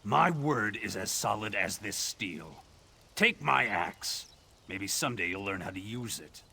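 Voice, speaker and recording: An actor playing an arrogant, gruff male orc hunter in a video game, boastful and challenging. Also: lowish voice, expressive, unhurried, sharp tone, full character voice. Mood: grudging. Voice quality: gruff, raspy. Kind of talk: video game character acting.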